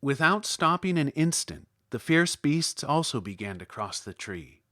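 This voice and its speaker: A man's voice: a little high, very animated, measured pace, a bit bright, dry recording, studio quality. A man reading a children's storybook aloud as a scripted narration. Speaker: audiobook narrator.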